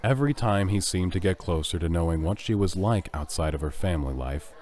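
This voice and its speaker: Deep-voiced man narrating a story. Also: expressive, a little fast, darkish tone. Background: music.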